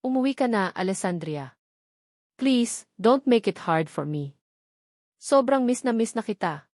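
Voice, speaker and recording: A woman's voice: a little high, expressive, a little slow, darkish tone, dry recording, clean studio sound. A woman voicing a heartsick husband who pleads with his wife in a novel. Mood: pleading.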